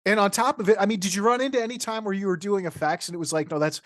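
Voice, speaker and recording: A man's voice: sits high, racing, no room sound, clean studio sound. A man hosting a podcast interview in a loose, casual conversational style, asking questions.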